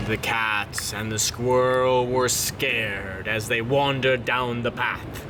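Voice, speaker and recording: A man's voice: mid-range voice, fairly even intonation, takes it slowly, noisy background. A man reading a children's picture book aloud in a theatrical way, drawing words out dramatically. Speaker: storyteller.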